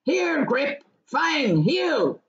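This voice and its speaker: A woman voicing a gruff but hearty male hobbit farmer in a storybook, with loud, blunt, rustic country speech. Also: medium pitch, animated, a little slow, roomy sound, dull audio. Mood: shouting commands. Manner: rustic, colloquial, blunt turns of phrase.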